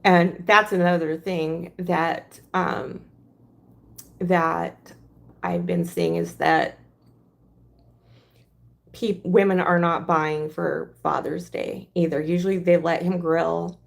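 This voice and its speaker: A hoarse woman hosting a casual crafting livestream, talking in a halting, rambling way. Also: low voice.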